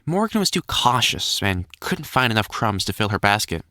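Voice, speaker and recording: Expressive male storybook narrator, dry close sound. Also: lowish voice, normal speed, no echo.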